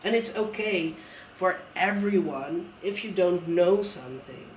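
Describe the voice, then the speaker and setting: An earnest man with a high voice talks reflectively, stumbling over restarts as he thinks. He sounds far from the mic in an echoing room, with a faint hiss underneath. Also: a little slow. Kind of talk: casual conversation. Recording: roomy sound from a distant mic.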